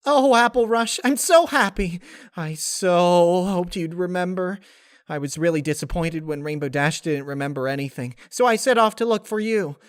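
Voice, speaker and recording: Casting: man performing bubbly young female pony for a storybook, hyper and rambling, voice pitched way up. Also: moderate pace, smooth, dry recording, clean studio sound, lightly acted. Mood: overjoyed. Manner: breathless excited rambling.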